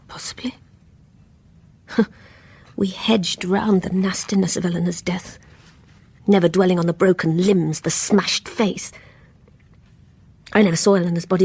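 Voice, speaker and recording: An actress playing a lonely, fanciful housewife in a radio play, confiding in a hesitant, brooding way with hushed phrase endings. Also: female voice, on the low side, breathy edge, full character voice. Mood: brooding, confessional. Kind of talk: radio play acting, dialogue and confessional narration.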